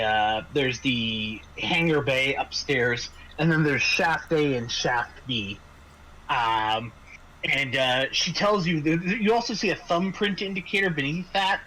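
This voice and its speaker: Bright-voiced man running a tabletop game. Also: medium pitch, slight background noise, poor audio. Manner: halting, repeats words as he searches for phrasing. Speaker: game master. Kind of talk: improvised tabletop game narration.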